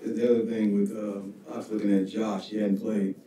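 A man's voice: on the low side, flat delivery, moderate pace, warm tone. A man asking questions as a reporter at a press conference, rambling a little with fillers. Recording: roomy, distant from the mic, faint noise.

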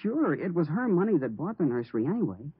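Earnest teenage boy, husky vintage radio. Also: fairly high pitch, big pitch swings, fast talker, dry recording, full character voice. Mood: polite, troubled. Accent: American. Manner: earnest, hesitant, deferential. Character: nervous, polite teenage stepson afraid for his mother. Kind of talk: 1950s radio drama acting. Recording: muffled, narrow-band vintage radio recording.

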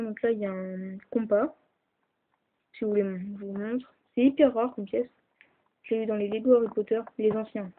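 A woman chatting casually with lots of fillers as she shows off a toy model at home, filmed on a handheld camera held close. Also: on the high side. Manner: chatty, hesitant, many fillers. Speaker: toy builder showing a model. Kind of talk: casual show-and-tell narration. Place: indoors at home. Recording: tinny lo-fi camera audio, hissy.

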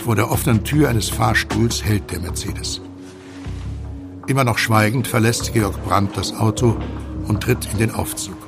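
A man reading scripted audio drama narration in a measured, suspenseful way. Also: fairly low pitch, vocal fry, over music.

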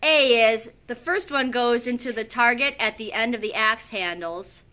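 An American woman in her forties, an English teacher, leading a classroom lesson and reading aloud in an animated, informal way. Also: a little high, moderate pace. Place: school classroom with whiteboard. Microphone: camera a few feet away.

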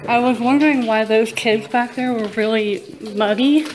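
A young woman with a fairly high voice chats casually while exploring. The handheld recording is clearly noisy, made inside a cave. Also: normal speed. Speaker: vlogger. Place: inside a wet cave, walking. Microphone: handheld camera or phone.

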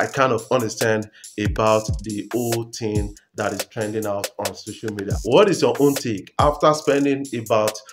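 Nigerian man, slightly higher-pitched, music behind. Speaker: music news vlogger. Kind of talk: informal entertainment news commentary to camera. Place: small room at home, indoors.

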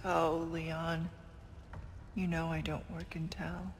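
A woman's voice: sits low, level tone, slow. A woman playing a cool, commanding secret agent in a video game cutscene, controlled, sly and clipped. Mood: cool, teasing. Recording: long reverb with loud background noise.

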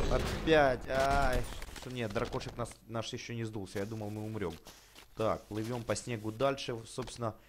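A man hosting a casual, chatty let's play for children, excitable, full of exclamations, repeated words and affectionate diminutives. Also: on the low side, very noisy. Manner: uses many affectionate diminutives. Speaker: gaming YouTuber host.

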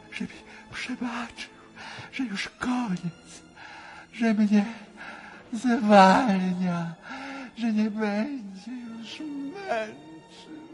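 An actor playing a frail, half-mad old prince in a radio drama, with a tremulous voice and pleading, repetitive manner. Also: male voice, very high-pitched, darkish tone, heavily acted.

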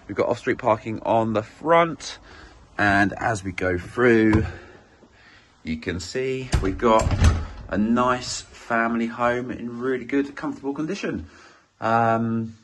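A man giving a chatty, upbeat walk-through tour of a house as an estate agent, his voice a little croaky, filmed on a handheld phone indoors. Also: mid-range voice, fairly bright tone, slight background noise. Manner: chatty, upbeat, filler-heavy with many "um"s. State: slightly croaky voice. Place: inside a small house, walking room to room.

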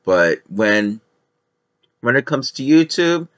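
A man's voice: medium pitch, big pitch swings, takes it slowly, fairly bright tone. A young man on a gaming headset in his living room, casually reacting to his own footage and rambling with many fillers. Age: young adult. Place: living room with a couch, indoors.

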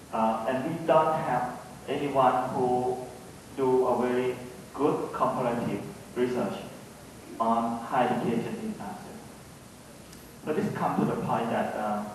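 A middle-aged man with a Thai accent speaking hesitantly and off the cuff as an academic panellist, with many pauses. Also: darkish tone. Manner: hesitant, halting delivery with frequent pauses. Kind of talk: unscripted panel discussion talk. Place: large conference hall, on stage. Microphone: handheld microphone, picked up by a distant camera. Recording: echoey hall from far off, faint rumbling noise.

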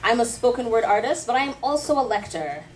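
A woman's voice: fairly high pitch, sing-song, fast talker. A woman in her thirties, a spoken word artist and lector, giving a faith talk with poetry in a small pub room, speaking earnestly with rhythmic rhetorical questions and light asides. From Canada. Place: small dim pub room, seated before a live audience. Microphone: handheld mic held close. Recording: distant camera mic in a pub.

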